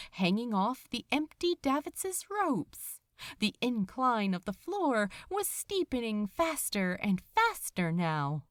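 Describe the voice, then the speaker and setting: A woman reading fiction aloud as a dramatic narrator, deliberate and expressive, with pauses between phrases. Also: mid-range voice, no echo, clean studio sound. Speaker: narrator of fan fiction audiobook. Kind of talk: dramatic scripted story narration.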